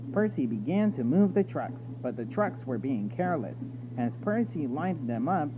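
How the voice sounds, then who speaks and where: A man reading a children's story aloud as narrator. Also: a little high. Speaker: story reader. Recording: narrow phone-like sound with loud noise and hum.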